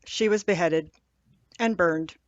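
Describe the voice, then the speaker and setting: A woman's voice: lowish voice, takes it slowly, no room sound, lo-fi sound. A woman playing a wry, chatty, knowledgeable English professor in a radio drama. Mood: wry relish. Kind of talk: old-time radio drama acting.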